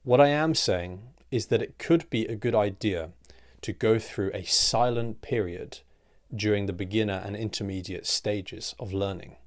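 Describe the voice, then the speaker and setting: Lower-voiced man explaining a lesson. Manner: clear, deliberate teaching delivery with rhetorical questions. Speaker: English teacher.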